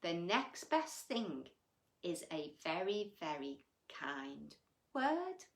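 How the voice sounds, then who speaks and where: Female children's storyteller: very animated, unhurried, gushing and affectionate, exclaiming to little listeners, in a roomy recording. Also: on the low side. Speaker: children's bedtime story reader and host. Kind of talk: animated storytelling and chat addressed to young children.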